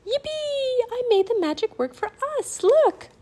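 Woman as an excitable high-voiced little girl. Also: moderate pace. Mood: excited, triumphant. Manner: eager, exclaiming.

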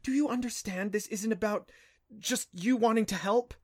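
A man's voice: sits high, moderate pace, voice pushed up. A man voicing a stern, exasperated mother archaeologist who scolds her son. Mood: scolding. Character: stern worried mother archaeologist, middle-aged woman.

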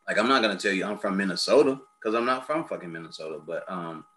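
Casting: American male host from Chicago, bright forceful voice, blunt casual talk, noisy recording with a hum. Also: low voice, animated, quick pace. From South Side of Chicago, United States. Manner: blunt, informal, swears freely. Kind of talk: casual opinionated chat.

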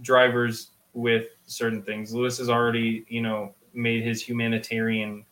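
Male sports podcast co-host, mid-pitched voice, chatty and slangy, explains in casual conversation. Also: monotone, moderate pace, a bit bright. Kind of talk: casual motorsport discussion.

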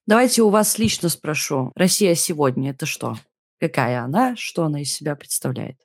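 High-voiced male interviewer, dry sound. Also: lively intonation, brisk, no room sound. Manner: polite, direct questions. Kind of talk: interview questions.

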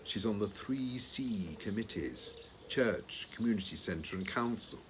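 A man acting in an audio play as a long-suffering, placid retired British husband, older, with a dry, reasoning, gently needling manner. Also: on the low side, animated, measured pace, noisy background. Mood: wry.

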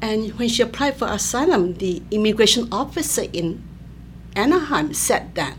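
An older woman from Malaysia, a professor being interviewed, explaining in a measured way with many fillers. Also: mid-range voice. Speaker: anthropology professor, guest. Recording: faint ambient noise.

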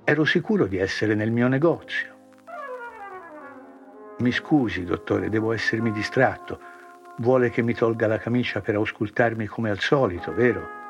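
A man voicing a cheerful, confused older ex-grocer who talks with brisk shopkeeper banter. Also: on the low side, muffled recording, faint music behind, close to an everyday voice. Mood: bewildered.

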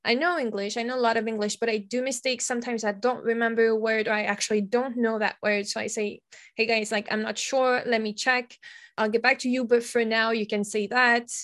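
Woman, higher voice, thinking out loud, restarts a lot. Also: level tone, a little fast. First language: French. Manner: reflective, searching for words. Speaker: secondary school teacher, guest. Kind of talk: conversational podcast interview.

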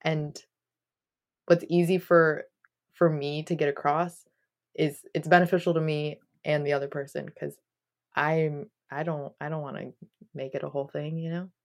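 A woman's voice: deep voice, level tone, moderate pace. A woman in a casual podcast discussion, speaking in a matter-of-fact, conversational way. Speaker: podcast host.

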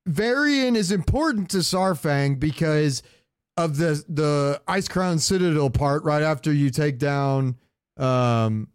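Argumentative podcast guy, higher-pitched and dry. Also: slow, smooth, no echo. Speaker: podcast co-host. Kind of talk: casual chat about game cinematics.

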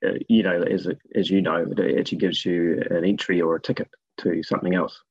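A man with a New Zealand accent, a guest telling stories about his work in a relaxed, rambling way with many fillers. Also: deep voice, brisk, husky, no room sound, highs cut off. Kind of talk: casual interview talk.

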